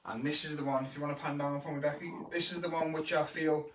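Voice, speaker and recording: Scouse kids' entertainer, fairly high voice, chatty live tutorial, echoey room, mic set back. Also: male voice, quick pace, slight background noise. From Liverpool, England. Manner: speeds up when excited, chatty and encouraging. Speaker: kids' entertainer teaching magic tricks. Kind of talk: casual live-stream tutorial. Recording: roomy echo, mic at a distance.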